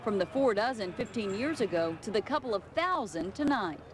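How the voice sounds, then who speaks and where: Lively woman news reporter over street noise. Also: mid-range voice, a little fast. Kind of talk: scripted TV news report narration. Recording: clear traffic and street noise.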